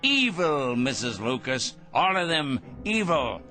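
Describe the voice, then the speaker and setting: An actor playing a cold, self-righteous crank obsessed with punishing evil in a radio drama, pompous and sneering in formal, precise diction. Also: male voice, on the high side, sing-song, takes it slowly, bright, forceful tone, soft background music, heavily acted. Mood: fanatical, dismissive.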